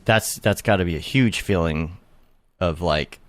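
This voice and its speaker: A man's voice: sits low, measured pace, no echo. A man hosting a podcast in casual chat, rambling and restarting his thoughts as he goes. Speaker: podcast host.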